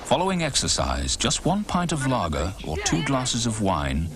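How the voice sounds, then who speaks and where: A man's voice: mid-range voice, wildly swooping pitch, moderate pace, crowd noise. A man reading sober, scripted public information narration as a voice-over. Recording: loud music over old VHS audio.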